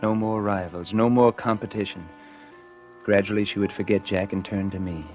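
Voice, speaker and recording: Guilty schemer, male, low voice, confessional old-radio suspense delivery acted, loud music behind. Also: fairly even intonation, moderate pace, lightly acted. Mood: smug, confiding. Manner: confessional first-person narration. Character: guilty, scheming man narrating his own murder. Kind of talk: 1940s radio suspense acting.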